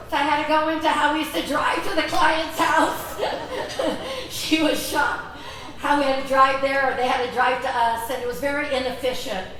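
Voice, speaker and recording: A woman originally from Greece, giving a chatty talk to a convention audience and often asking them questions. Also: high-pitched. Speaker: convention speaker. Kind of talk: presentation to an audience. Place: large convention hall. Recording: echoey hall, voice from far off.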